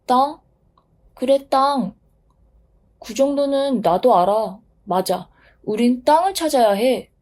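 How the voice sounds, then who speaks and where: A woman plays a newborn wind in a storybook, playful and full of itself. The voice is eager and breezy, lifted a little in pitch and mellow in tone. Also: animated, slow, pauses often.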